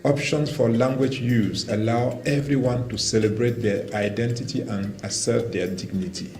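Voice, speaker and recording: A man from Cameroon whose first language is Romala, reading a formal, measured statement for a language organization. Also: mid-range voice. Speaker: language development representative. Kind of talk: prepared statement read aloud. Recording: roomy video clip with faint music.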